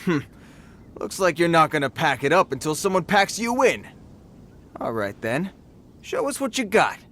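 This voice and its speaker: A man's voice: a little high, sing-song, moderate pace, bright, forceful tone, slight background noise. A man voicing a streetwise bandit thug in a video game, taunting and slangy with a swagger. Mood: taunting, amused. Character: mocking, streetwise male bandit thug, rough-mannered.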